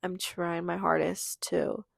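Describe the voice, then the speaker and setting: A teenage girl hosting her own podcast, chatting in a rambling, confessional way with lots of fillers, her voice hoarse from losing it. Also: fairly low pitch, steady tone, takes it slowly, no room sound, studio quality. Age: teenager in high school. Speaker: podcast host. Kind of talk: casual confessional monologue.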